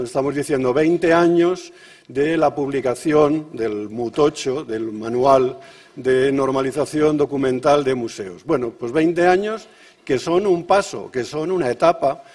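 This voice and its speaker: Castilian spanish guy opening a conference, measured and a bit halting, mid-pitched voice. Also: darkish tone. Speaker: museum official introducing an event. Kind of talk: welcome speech at a conference.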